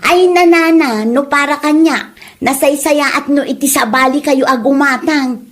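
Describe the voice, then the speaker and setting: Woman acting nosy mean gossip lady in a comedy drama, really high voice, pushy and insinuating. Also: moderate pace, close to an everyday voice. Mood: insinuating, spiteful. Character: nosy, spiteful gossiping village woman, badmouthing a seller.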